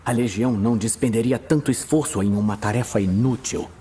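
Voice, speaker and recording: Man playing a hushed, husky, earnest archmage. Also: medium pitch, lightly acted. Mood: urgent pleading. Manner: hushed, measured, pleading. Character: weary, earnest male archmage, urgent and restrained. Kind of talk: dramatic fantasy audio drama acting. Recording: music bed behind voice.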